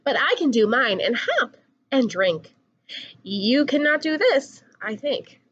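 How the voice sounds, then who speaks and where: Young female storybook reader, singsong and expressive, slow pacing, big pitch swings, slightly lo-fi recording. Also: husky. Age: young adult. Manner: animated, singsong rhyming delivery. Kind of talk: expressive picture book read-aloud.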